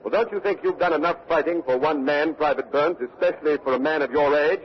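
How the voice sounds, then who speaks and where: A man's voice: a little high, quick pace, natural voice. An actor playing an earnest male war correspondent, formal and urgent, in a 1940s radio news dramatization. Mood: probing. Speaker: radio reporter and interviewer. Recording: dull vintage radio broadcast recording.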